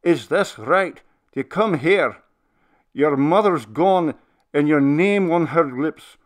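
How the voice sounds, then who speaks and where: A man's voice: a little high, very animated, slow, voice pushed up. A man voicing an old minister who pleads with a ghost, his voice sobbing and commanding by turns.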